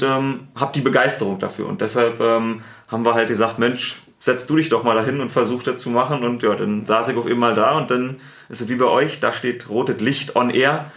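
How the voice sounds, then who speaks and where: A man with a Berlin accent, a sports TV presenter and editor, answering interview questions in a relaxed, chatty way with many fillers. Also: medium pitch, echoey room. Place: studio.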